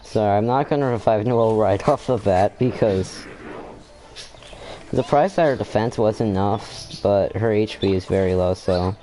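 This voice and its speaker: Low, mellow-voiced man casually commenting. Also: normal speed, a little hiss or hum. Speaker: gaming video creator playing the game.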